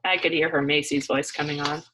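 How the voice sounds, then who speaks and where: A woman narrating a children's story as a read-aloud, in an expressive storytelling style. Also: low voice, a little fast, noisy background.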